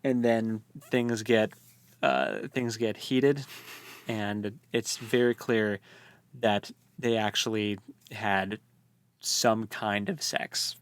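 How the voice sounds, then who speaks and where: A man's voice: lowish voice, fairly even intonation, slow, smooth, no echo. A man co-hosting a podcast, chatting about a book in an informal, rambling, conversational way. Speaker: podcast co-host. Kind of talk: casual book discussion.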